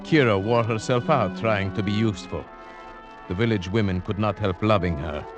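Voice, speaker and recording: A man with a very deep voice narrates an old-time radio thriller in a measured, suspenseful manner. Clear music plays behind him on the vintage recording. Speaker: narrator and lead actor. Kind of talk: 1940s radio drama narration.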